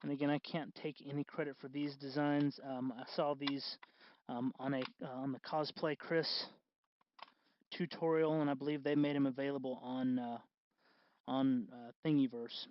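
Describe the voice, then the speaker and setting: A man hosting a do-it-yourself tutorial in casual, unscripted talk, halting and correcting himself as he goes. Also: mid-range voice, flat delivery, lo-fi sound. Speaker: host of a DIY costume electronics tutorial.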